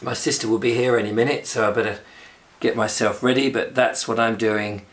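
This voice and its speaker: A man from England with a hoarse, recovering voice, chatting casually in a rambling video diary. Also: medium pitch, steady tone, fairly quick. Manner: rambling, chatty, self-deprecating. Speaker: video blogger. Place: indoors at home and in a flat.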